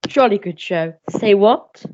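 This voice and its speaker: A woman's voice: medium pitch, expressive, measured pace, a little mellow, highs cut off, slight background noise, close to an everyday voice. A woman playing a blustering, hearty upper-class major and father in a melodramatic radio play. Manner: pompous, hearty.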